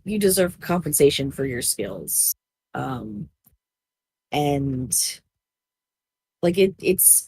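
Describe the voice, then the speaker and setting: A woman on a discussion panel giving casual advice, halting as she goes and repeating phrases for emphasis. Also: low-pitched, darkish tone, husky, no echo. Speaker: podcast panelist.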